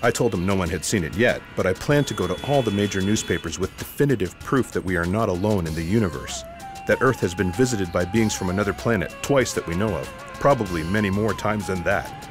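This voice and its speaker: A man's voice: deep voice, fairly quick, lightly acted. A man playing a disillusioned, wry ex-secret agent, dry and wordy, in a comic sci-fi audio drama with music behind. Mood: wry, indignant.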